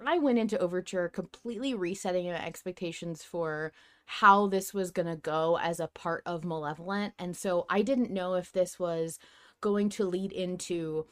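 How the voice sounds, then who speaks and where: A woman hosting a podcast in a casual fan discussion, talking in an animated, excitable way and acting out her reactions. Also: fairly low pitch, normal speed. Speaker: podcast host.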